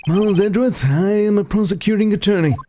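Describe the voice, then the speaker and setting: A man voicing a solemn, refined, guilt-ridden prosecutor in a video game, speaking formally and gravely. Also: sits high, fast, a little hiss or hum, lightly acted. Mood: resigned. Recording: loud game music underneath. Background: video game music.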